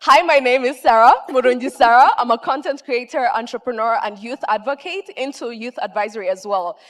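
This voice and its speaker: A woman with a high voice speaks into a handheld mic from the floor of a forum. She presses her point with passion and urgency. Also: a little fast. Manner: impassioned, pleading. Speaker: audience member. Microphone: handheld microphone.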